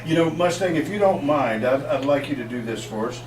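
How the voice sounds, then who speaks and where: A man hosting a community talk radio show with energetic, promotional delivery, reading out phone numbers and introducing guests. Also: a little high, fairly quick. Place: radio booth set up in a shopping mall. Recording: roomy, distant mic, noisy.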